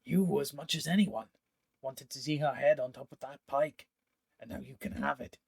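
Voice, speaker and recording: A man's voice: mid-range voice, steady tone, moderate pace, gravelly, husky. A man voicing a scornful four-armed Vedalken villain. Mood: pleading. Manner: scornful, self-pitying, pleading when threatened. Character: jealous, cruel male four-armed Vedalken hunter.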